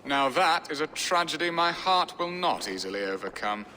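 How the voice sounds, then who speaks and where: A man's voice: on the high side, big pitch swings, moderate pace, pushed and bright, a little hiss or hum. An actor playing a wry, middle-aged nobleman in a video game, with a theatrical, sardonic, flowery way of speaking. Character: wry, florid middle-aged English nobleman, weary husband. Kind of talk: video game cutscene acting. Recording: long hall reverb, music behind.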